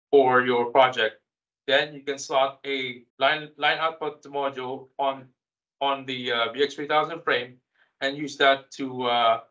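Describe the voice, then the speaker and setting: A man giving a technical product webinar as a specialist, in a halting, hesitant way with repeated words. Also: medium pitch, bright, forceful tone. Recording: slightly dull, lo-fi webinar audio.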